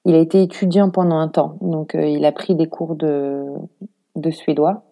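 Low, mellow French woman, conversational. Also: level tone, moderate pace. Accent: French from France. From France. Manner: informal, reflective, thinking aloud. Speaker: interview guest, expatriate mother; preschool worker. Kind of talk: casual conversational interview.

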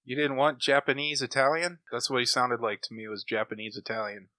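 A man's voice: medium pitch, fairly even intonation, brisk, sharp tone, no room sound. A man chatting casually as a panelist on a podcast. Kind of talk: casual chat.

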